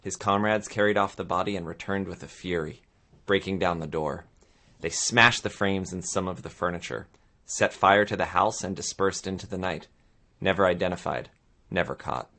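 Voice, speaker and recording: A man's voice: low-pitched, normal speed, pushed and bright. A man giving dramatic scripted narration of history, as in a radio play. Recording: clear background noise and ambience. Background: noisy ambience.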